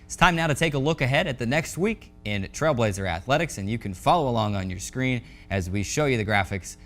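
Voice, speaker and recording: A man hosting a sports show, speaking in a somewhat halting way with repeated words. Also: medium pitch, expressive, fairly bright tone, faint music behind. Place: studio set.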